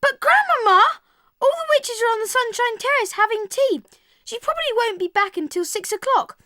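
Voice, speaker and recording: A woman's voice: very high voice, animated, normal speed, sharp tone, dry recording, clean studio sound, full character voice. A woman voicing an eager, plucky young boy who has been turned into a mouse, in an audio drama. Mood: pleading, insistent.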